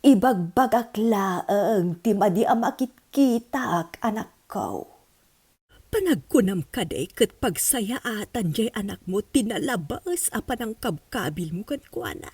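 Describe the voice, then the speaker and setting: A woman playing a gentle grandmother in an audio drama, coaxing and softly admonishing. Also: medium pitch, lively intonation, slow, slightly rough, dry recording. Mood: gently reproving. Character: gentle, concerned grandmother counselling her family. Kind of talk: melodramatic audio drama acting.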